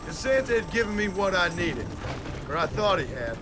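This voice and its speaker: An actor playing a hard-bitten, skeptical gunslinger in a video game cutscene, speaking in a dry, terse, sardonic way. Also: male voice, high voice, big pitch swings, normal speed, noisy background. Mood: grim, determined.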